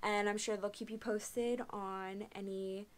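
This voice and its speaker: A woman's voice: mid-range voice, fairly even intonation, measured pace. A young woman in her twenties chatting casually to a webcam in her bedroom, answering viewer questions with lots of "um" and "like". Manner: chatty, frequent "um" and "like", laughs often. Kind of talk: casual Q&A vlog. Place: small bedroom, indoors.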